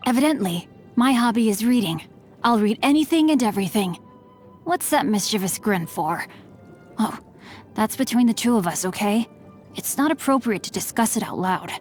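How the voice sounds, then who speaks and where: Woman as a chivalrous teenage boy, bookish, eloquent, courteous, video game voice, fairly high, music behind. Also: animated, moderate pace, darkish tone, natural voice. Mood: coy, gentle. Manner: refined, eloquent, formal diction. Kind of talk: video game character voice lines.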